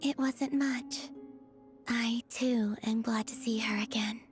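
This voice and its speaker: A woman playing a serene, gentle young moon goddess in a video game, speaking softly in a calm, formal, measured way. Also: a little high, faint music behind. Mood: gentle, solemn. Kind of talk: video game character acting.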